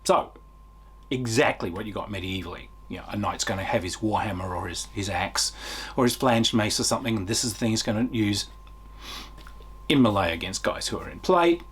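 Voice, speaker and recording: A man giving an unscripted, enthusiastic game review in a conversational way, with emphatic stresses and a husky voice. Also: lowish voice, lively intonation, a little fast, a bit bright, a little hiss or hum. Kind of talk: unscripted review talk to viewers.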